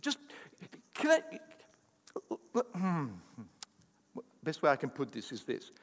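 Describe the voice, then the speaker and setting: A pastor delivering a Sunday sermon in a high voice, in English, which is his second language. He builds to emphatic rising bursts and drops into quick asides. The reverb trails off long, as in a church hall. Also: huge pitch swings, fairly bright tone. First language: not English.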